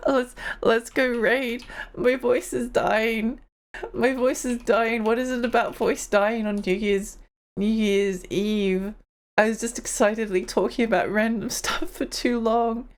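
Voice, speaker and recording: A woman's voice: a little high, steady tone, measured pace. Tired young woman streamer, chatty and giggly. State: tired, strained voice. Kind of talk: casual live-stream chat.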